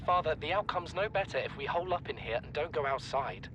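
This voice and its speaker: A man's voice: on the high side, expressive, fast talker, lightly acted. Man playing earnest young scientist, noisy backdrop. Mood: pleading, firm. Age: young adult. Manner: earnest, pleading. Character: earnest, studious young scientist son, a peacemaker. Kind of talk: dramatic game voice acting. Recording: clear ambient background noise.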